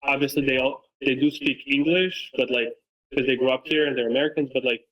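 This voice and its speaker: A man's voice: mid-range voice, fairly even intonation, fairly quick, fairly bright tone, lo-fi sound. A young man from Romania, a native Romanian speaker, talking as a guest in a casual interview in an earnest, reflective way with plenty of fillers and restarts. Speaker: guest, football creator and coach. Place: lives in Massachusetts.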